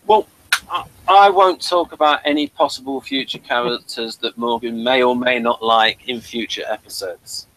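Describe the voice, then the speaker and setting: Give this to a man with a medium-pitched voice, hosting an informal review. He rambles a little and hesitates as he works through his thoughts in a chatty style. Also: moderate pace, a bit bright, slight background noise, rough recording. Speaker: review show host. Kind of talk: casual review chat.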